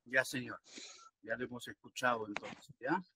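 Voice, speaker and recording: A man's voice: mid-range voice, fairly even intonation, moderate pace, sharp tone, slightly rough. An older man, a presiding judge speaking formally and curtly over a video call. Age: older, around 60. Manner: curt, firm, cutting the speaker short. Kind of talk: formal courtroom procedure. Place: indoors in an office chair, on a video call. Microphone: video call, seated close to the camera.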